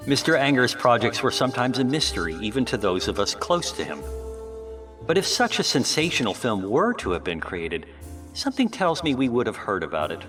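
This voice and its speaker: A man's voice: medium pitch, sing-song, moderate pace, a bit bright. A man playing a coy, evasive old-Hollywood insider giving a press statement in a noir radio drama. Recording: distant voice, music behind.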